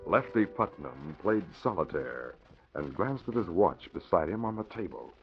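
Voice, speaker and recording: A man narrating and announcing a 1940s radio serial with a crisp, dramatic delivery. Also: low-pitched, expressive, normal speed, soft background music. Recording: muffled 1940s radio recording.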